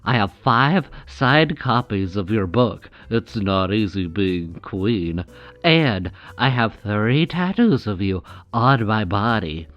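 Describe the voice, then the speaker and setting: Man playing a slow-talking, awkward, creepy superfan. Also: lowish voice, lively intonation, muffled recording. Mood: eager, infatuated. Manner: hesitant, stammering, eager, awkward. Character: creepy, adoring, nervous male superfan. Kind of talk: comedic audio drama acting.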